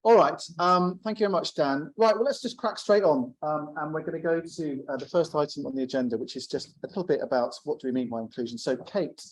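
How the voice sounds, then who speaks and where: A man hosting a meeting from a theatre stage, brisk and jokey as he moves through the agenda. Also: a little high, low-quality recording. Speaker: meeting host. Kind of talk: compering a meeting.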